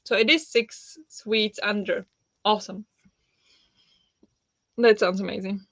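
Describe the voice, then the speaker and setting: A woman's voice: mid-range voice, steady tone, slow pace, no room sound. Woman chatting on a book stream. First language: Slovak. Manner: chatty, warm replies to viewers with frequent fillers and laughs. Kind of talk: live-stream chat reading out comments.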